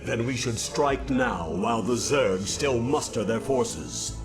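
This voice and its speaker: An actor playing a stern, proud alien judicator in a video game, with formal, urgent declamation. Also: male voice, medium pitch, lively intonation, slow pace. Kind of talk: scripted video game dialogue. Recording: loud music behind.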